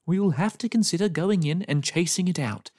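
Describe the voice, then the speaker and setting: A man's voice: high voice, animated, a little fast, a little mellow, no echo, clean studio sound, pitched above the performer's own voice. A man voicing a fearful, grumpy older male neighbour in a storybook, scolding and fretting. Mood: fretful. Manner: scolding and fretful.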